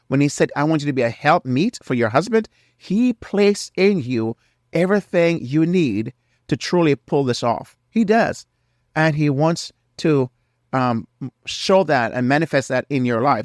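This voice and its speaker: A man's voice: on the high side, dry recording, clean studio sound. A middle-aged man hosting a faith-based marriage podcast, earnest and preacherly, sounding nasal from a cold. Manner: earnest, preacherly, repeats key phrases. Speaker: podcast host.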